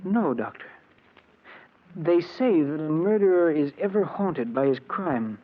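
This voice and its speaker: A man playing a soft-spoken, self-justifying murderer in 1940s radio drama, speaking in a measured, polite, earnest way. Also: a little high, animated. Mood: reflective, confessional. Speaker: actor playing narrator and lead character. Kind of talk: 1940s radio drama acting, confessional narration. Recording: dull, noisy old radio recording.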